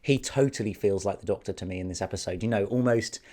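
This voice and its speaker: Man, hoarse from a cold, slightly low voice, eager chatty review. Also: fast, dark tone. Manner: enthusiastic, chatty, many fillers. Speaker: video reviewer. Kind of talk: casual spoken review.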